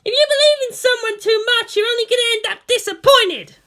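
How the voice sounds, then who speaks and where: A woman's voice: extremely high pitch, fairly quick, fairly bright tone, pitched above the performer's own voice. A woman voicing a proud, hot-tempered teenage girl pilot who rants with snarling scorn in an audiobook-style story reading. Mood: furious, indignant. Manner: snarling, indignant ranting.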